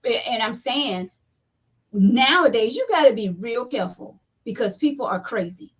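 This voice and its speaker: A woman's voice: fairly high pitch, expressive, normal speed, slightly rough. Thoughtful, hesitant woman. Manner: thoughtful, hesitant, restarts while weighing her words. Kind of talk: casual group discussion.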